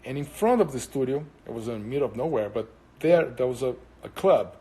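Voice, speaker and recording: A man's voice: mid-range voice, lively intonation, a little fast, warm tone. Brazilian man rambling through viewer chat. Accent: Brazilian Portuguese. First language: Portuguese. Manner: rambling, hesitant with many fillers. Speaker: musician answering viewers. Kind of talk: casual live-stream chat.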